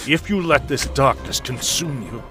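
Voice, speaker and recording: A man's voice: mid-range voice, lively intonation, moderate pace, music in the background, close to an everyday voice. An actor playing a principled manservant, formal and earnest with his mistress, in pulp superhero radio drama style. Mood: pleading, resigned.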